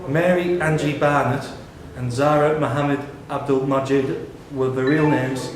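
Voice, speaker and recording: A male quiz reader with a mid-pitched voice puts questions to players in a live pub quiz. The room is very echoey, with some background noise around the voice. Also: fairly even intonation, unhurried.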